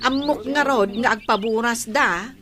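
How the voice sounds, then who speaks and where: A woman's voice: fairly high pitch, unhurried, a crowd in the background, natural voice. A woman with a Filipino Ilocano accent playing a greedy, complaining married woman in a radio drama, whiny and argumentative. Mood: irritable. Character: greedy, complaining married daughter-in-law demanding a bigger share.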